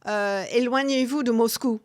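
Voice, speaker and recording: A woman, talking as a guest author in an interview, halting with many restarts and fillers. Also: a little high, no echo, studio quality. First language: English. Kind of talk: interview conversation.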